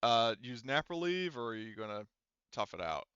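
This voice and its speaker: A man with a smooth, clear voice works as game master for a tabletop roleplaying game. He explains rules and scenes in a casual, halting way full of asides. The sound is dry and slightly dulled. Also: mid-range voice, sing-song, bright, forceful tone, dry recording, lo-fi sound.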